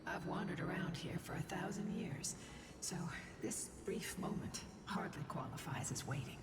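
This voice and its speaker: A woman playing an ancient, patient female wanderer in a video game, speaking in a slow, breathy, formal way. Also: fairly low pitch, whispered, natural voice. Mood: calm. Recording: roomy, distant, under loud game music.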